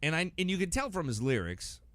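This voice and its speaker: A man's voice: on the high side, very animated, brisk, a bit bright, dry recording. A man chatting casually as a podcast guest, talkative and given to joking.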